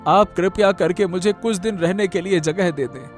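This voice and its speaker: Guy doing a desperate young villager in a cartoon, high voice, pleading and repeating himself, bit of music behind. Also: normal speed, lightly acted. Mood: humble, respectful. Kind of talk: Hindi cartoon moral-story acting.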